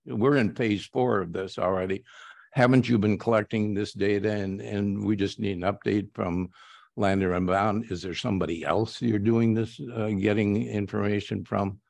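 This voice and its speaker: An American man speaking haltingly as a board director at a formal public meeting. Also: on the low side, steady tone, vocal fry, a little mellow, dry recording. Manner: halting, hesitant delivery.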